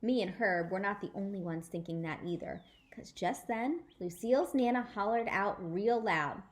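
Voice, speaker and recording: A woman storyteller reading a children's book aloud in an animated, emphatic way. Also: mid-range voice, moderate pace. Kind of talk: expressive children's book read-aloud in a child narrator's words.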